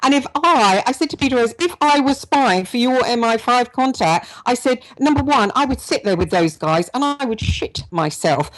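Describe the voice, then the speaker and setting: A woman's voice: medium pitch, rough recording. A middle-aged woman hosts a radio talk show. She talks in long, loose stretches, restarting and repeating words as she goes. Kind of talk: conversational interview.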